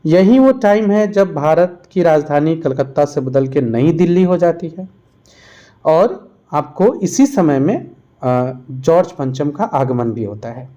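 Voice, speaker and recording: A man's voice: fairly high pitch, dark tone, dull audio. A man narrating a quiz-style lesson as a teacher, explaining and repeating answers for emphasis. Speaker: exam-prep history teacher.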